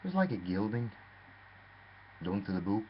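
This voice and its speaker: A man with a lowish voice tells a rambling story in a theatrical, swooping manner, leaping from murmurs to sudden high exclamations. The recording is dull and lo-fi, with a faint hiss underneath. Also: sing-song, normal speed. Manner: theatrical, rambling, addresses listener as lad.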